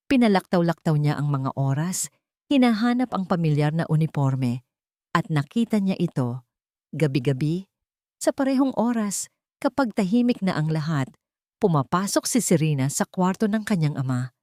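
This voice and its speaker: A woman's voice: sits low, sing-song, normal speed, mellow, dry recording, studio quality. A woman narrating a scripted love story in a dramatic storytelling style.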